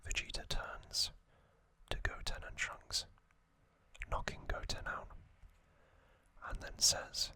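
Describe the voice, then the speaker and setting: A man's voice: slow, pauses often, sharp tone, no echo. A man whispering in ASMR style as he recounts trivia, hesitant and full of ums and uhs. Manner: hesitant, self-correcting delivery. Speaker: ASMR creator.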